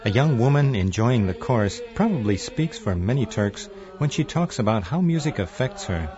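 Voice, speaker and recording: A man's voice: medium pitch, animated, moderate pace. A man working as a radio reporter, introducing and probing guests with repeated questions. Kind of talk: radio feature interview. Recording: muffled, with music and crowd.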